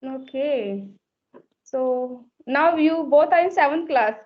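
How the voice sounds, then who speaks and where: A woman's voice: high voice, slow pace. A woman from Uttar Pradesh with a North Indian accent, a native Hindi speaker, chatting in learner English and often repeating herself. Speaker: English learner and conversation partner. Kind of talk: casual video-call conversation. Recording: muffled lo-fi call audio.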